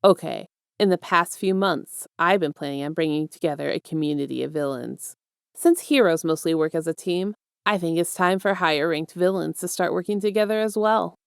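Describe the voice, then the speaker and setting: A woman voicing a smug, affectionate male villain mastermind with a soft, teasing, self-assured manner in an audio story. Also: lowish voice, moderate pace, vocal fry, clean tone, no echo, clean studio sound. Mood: businesslike.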